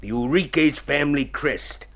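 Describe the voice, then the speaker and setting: A scheming, smooth-talking consul from vintage radio drama. He is theatrical and self-satisfied, coaxing his partner along like a man selling a crooked deal. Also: fairly high pitch, normal speed, fairly bright tone. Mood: sly, persuasive. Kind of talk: golden age radio drama acting.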